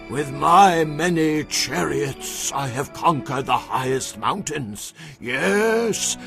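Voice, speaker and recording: An actor playing a stern prophet who declares God's word in a solemn, emphatic, declamatory way for a dramatized audio Bible. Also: male voice, high-pitched, fairly bright tone, full character voice. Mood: indignant.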